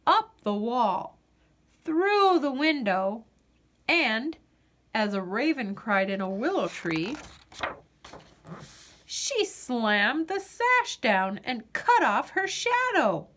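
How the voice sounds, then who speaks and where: A woman reading a children's picture book aloud, dramatic and deliberate, with drawn-out pauses. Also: on the high side, sing-song, a bit bright. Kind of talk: expressive children's storybook narration.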